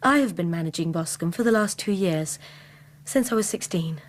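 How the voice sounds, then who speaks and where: An actress with an English accent playing a devoted, self-possessed young gentlewoman in a radio drama, earnest, polite and guarded. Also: fairly low pitch, expressive, moderate pace, close to an everyday voice. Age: young adult.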